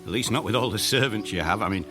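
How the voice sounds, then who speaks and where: A man's voice: on the low side, fast talker, over music, natural voice. A man acting an affable, cautious neighbor who delivers mail, folksy and hesitant, in a radio drama. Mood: friendly, curious.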